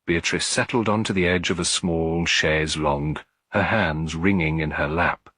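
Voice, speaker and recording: Deep, slightly husky male story narrator. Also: unhurried, a bit bright, dry recording. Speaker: audiobook narrator.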